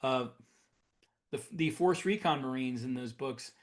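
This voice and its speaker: A man's voice: medium pitch, unhurried. A man co-hosting a podcast, explaining his opinions in casual conversation. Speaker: podcast co-host.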